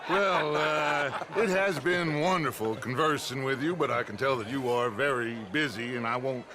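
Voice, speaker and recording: An actor playing a suave, scheming American outlaw gang leader in a video game, courteous, guarded and measured. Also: male voice, mid-range voice, a crowd in the background, natural voice. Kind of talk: video game cinematic acting.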